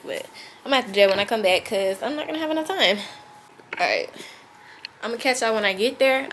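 A teenage girl, talking through a stuffy, blocked-up nose as she vlogs her day. She chats candidly to her viewers and drifts into rambling asides in a middle-pitched voice. A faint hiss of noise sits behind her. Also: a bit bright. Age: teens. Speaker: vlogger. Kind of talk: casual vlog chat.

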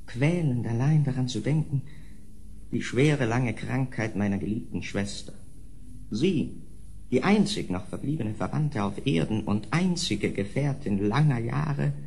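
An actor playing a tormented, melancholy last heir of a decaying noble house in a horror audio drama, agitated and theatrical. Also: male voice, mid-range voice, gravelly, darkish tone. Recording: faint noise on old audio drama.

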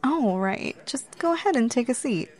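A woman's voice: high-pitched, animated, moderate pace, a little mellow. Flirty, teasing woman acting on a date. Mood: chatty, friendly. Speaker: ASMR roleplayer. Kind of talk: ASMR roleplay.

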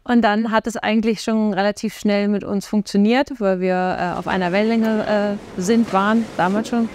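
A middle-aged woman, a restaurant co-owner, telling stories in a lively way during a documentary interview in a restaurant dining room. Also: mid-range voice, normal speed. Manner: lively storytelling, laughs easily.

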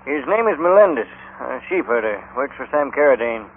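An actor playing a matter-of-fact small-town frontier doctor in an old radio Western. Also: male voice, medium pitch, sing-song, brisk, slightly rough. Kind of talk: 1950s radio Western acting. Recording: tinny old radio recording.

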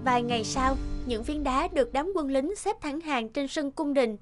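A woman's voice: sits high, normal speed. A woman narrator reading a scripted fairy tale as a storyteller. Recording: music behind the voice.

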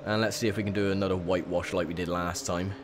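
A young man with a croaky, sore voice, chatting casually through gameplay commentary with lots of ums. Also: low voice, little pitch movement, fast, noisy background. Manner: chatty, rambling with many ums. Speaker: gaming video host.

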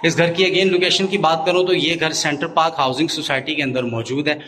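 Urdu-accented male host, slightly high voice, house tour patter, faint room noise. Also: a little fast. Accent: Pakistani Urdu. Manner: steady salesman patter, repeating stock phrases. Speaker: real estate YouTuber giving a house tour. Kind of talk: walkthrough narration. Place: inside an empty new house.

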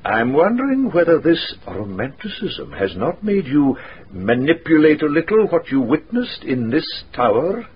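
Probing lawyer, courteous, bookish, wide-ranging intonation, radio drama, dull sound with slight hiss. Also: male voice, fairly high pitch, sing-song. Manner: formal, deliberate, inquisitive. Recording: dull radio recording, faint noise.

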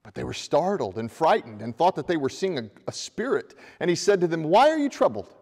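A middle-aged man preaching a sermon from a church pulpit, reading scripture and rising in pitch with energy as he presses his points. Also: brisk, gravelly. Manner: animated preaching with raised hands, voice climbing when stressing a point. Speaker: pastor. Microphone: far camera. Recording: long church reverb.

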